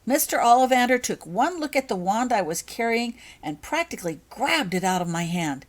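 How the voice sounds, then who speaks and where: A woman voicing an excitable, impatient, gushing young schoolgirl witch in a storybook reading, eager and emphatic. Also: on the high side, normal speed, voice pushed up. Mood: excited, gushing. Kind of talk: audiobook character voice.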